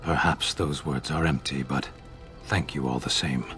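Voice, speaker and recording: An actor playing a stoic, grim giant warrior in a video game, speaking in a terse, solemn, measured way. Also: male voice, extremely low pitch, steady tone. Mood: subdued gratitude. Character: stoic, grim giant warrior, disgraced soldier far from home. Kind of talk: video game character acting. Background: music.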